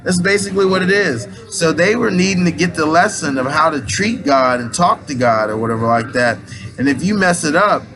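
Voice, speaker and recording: A man's voice: a little high, brisk, over music. A man in his late thirties giving a rambling, casual spiritual pep talk in loose slang, restarting his sentences often. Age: 30s. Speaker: aspiring minister and motivational speaker.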